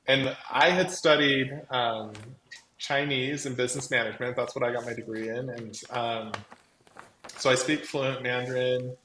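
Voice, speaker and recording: A man with a carrying, mid-pitched voice talks in a chatty, effusive way, telling his story casually as a guest. His words are loosely strung with "like". The room adds echo, and there is faint noise behind him. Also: fairly bright tone. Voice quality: voice that carries. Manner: chatty, effusive, peppers talk with "like". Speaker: guest, crafting company co-founder. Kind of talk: casual live chat, personal storytelling.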